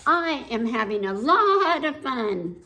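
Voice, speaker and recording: Woman playing a stubborn little girl, slow and emphatic. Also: high voice, very animated, sharp tone, exaggerated voice. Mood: proud, boastful. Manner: emphatic, defiant, drawn-out words.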